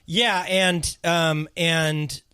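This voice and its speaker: A man in casual movie review chat, halting and restarting as he talks through a film. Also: on the high side, fairly even intonation, bright, forceful tone, clear, resonant tone, no room sound, clean studio sound. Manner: halting, restarts, acts out lines in put-on voices.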